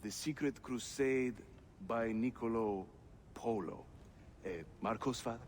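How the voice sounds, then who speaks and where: A man's voice: mid-range voice, a little slow, a little hiss or hum, lightly acted. A jovial assassin fighter voiced by a man speaks in a mid-range voice with a Turkish accent. He is affable and playful, in the manner of video game drama, with faint ambience behind him. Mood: curious, helpful.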